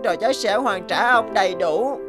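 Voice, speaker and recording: A woman's voice: mid-range voice, normal speed, natural voice. A woman voicing a polite, eager, determined young street boy in a cartoon. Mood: earnest pleading. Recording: music behind the voice.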